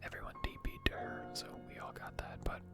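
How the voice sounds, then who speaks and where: A video host whispers gaming commentary for ASMR, with no real voicing, just breath. The talk wanders and hesitates, close to the mic. Music plays clearly underneath. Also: brisk. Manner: rambling, hesitant.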